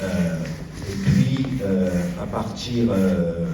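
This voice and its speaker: A man giving an informal public progress report as a local official, speaking haltingly with long hesitant pauses. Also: deep voice. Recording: roomy echo, distant mic, noisy.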